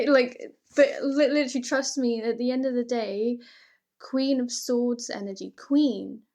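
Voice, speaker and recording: Higher-voiced woman giving an encouraging tarot reading. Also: fairly even intonation, unhurried, a little mellow. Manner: earnest, emphatic, reassuring; informal, conversational phrasing. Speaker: tarot reader. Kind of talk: intimate, encouraging tarot reading addressed to the listener.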